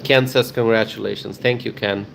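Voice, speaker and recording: A man's voice: lowish voice, fairly even intonation, normal speed, gravelly, slight background noise. A man from Bangladesh hosting a casual livestream, reading out viewer comments and answering them, often repeating phrases for emphasis. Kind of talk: casual live chat with viewers.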